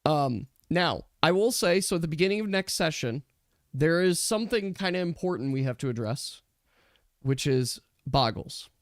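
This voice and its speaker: A man's voice: fairly high pitch, expressive, moderate pace, dry recording, studio quality. A man speaking as a game master, chatting casually and explaining things with emphatic repetition. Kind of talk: casual chat after a tabletop game session.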